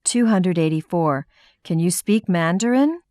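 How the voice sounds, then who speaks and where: A woman with an American accent reading numbered practice sentences for an English lesson, clear and measured. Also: lowish voice, expressive, dark tone, no echo.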